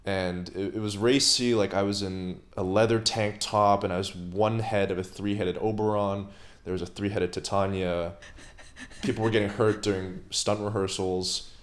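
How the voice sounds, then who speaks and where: A young man who grew up in Hong Kong, an actor talking as an interview guest, measured and reflective, trailing off at the ends of thoughts. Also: fairly low pitch, steady tone. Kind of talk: casual interview conversation.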